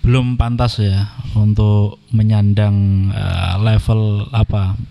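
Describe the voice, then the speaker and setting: A man, speaking as a podcast guest in a polite, measured way, with frequent "eh" and "ya" fillers. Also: on the low side, little pitch movement, gravelly, mellow, in a large hall. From Indonesia. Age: 30s. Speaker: podcast guest, Quran reciter. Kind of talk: casual podcast conversation.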